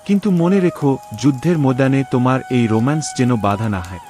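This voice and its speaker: A man's voice: medium pitch, brisk, a little mellow, soft background music, close to an everyday voice. A man voicing a jovial soldier who teases his comrade in a cartoon drama. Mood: teasing. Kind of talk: cartoon story voice acting.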